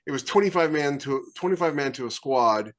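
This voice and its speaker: A man's voice: on the high side, expressive, fairly quick. A man on a podcast video call, explaining things casually and matter-of-factly. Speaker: podcast panelist, military veteran. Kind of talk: casual panel discussion.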